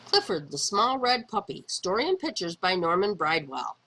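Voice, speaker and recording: A woman narrates a picture book for young children with very wide, swooping intonation. Her voice is bright and a little husky, and she leans on words dramatically, drawing them out for emphasis. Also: mid-range voice, sing-song, moderate pace, low-quality recording. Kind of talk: expressive read-aloud narration for young children.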